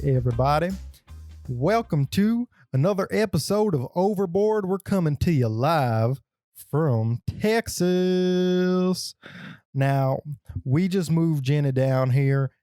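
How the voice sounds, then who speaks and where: Young male podcast co-host, easygoing and wisecracking, mellow tone, dry close recording. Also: a little high, no echo. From Michigan. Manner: jokey, laid-back. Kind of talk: casual chat.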